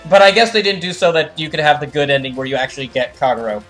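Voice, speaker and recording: A man with a fairly high-pitched voice talks as a game streamer. He explains lore in a casual, rambling and enthusiastic way. Soft music plays behind his voice. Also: animated, fast talker. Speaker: streamer doing live fan dubs of a video game. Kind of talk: casual livestream commentary.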